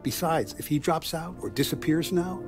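An actor playing a calculating mob boss in a video game, speaking in a measured, businesslike, authoritative way. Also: male voice, fairly high pitch, animated, over music. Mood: grave, scheming. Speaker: crime boss. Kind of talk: cinematic video game dialogue.

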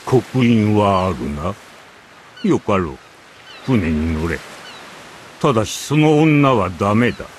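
An actor voicing a guarded old gatekeeper in a Japanese game, curt, solemn and suspicious. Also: male voice, on the low side, very animated, takes it slowly, long pauses, a little hiss or hum. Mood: stern. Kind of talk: Japanese anime game voice acting.

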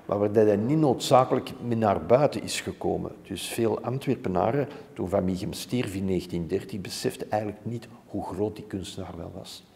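A man's voice: lowish voice, fairly quick, vocal fry, few pauses, warm tone. A man in his sixties with a Flemish accent, giving informative interview answers as a curator in a reverberant museum room. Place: indoor museum room hung with framed art. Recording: hollow room with long reverb.

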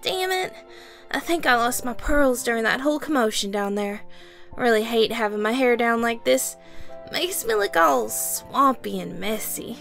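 A vain, expressive sea siren from a cartoon dub, voiced by a woman. The pitch is on the high side and very lively, with a creaky edge and a touch of sass, set over music. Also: moderate pace. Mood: annoyed. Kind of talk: animated comic dub acting.

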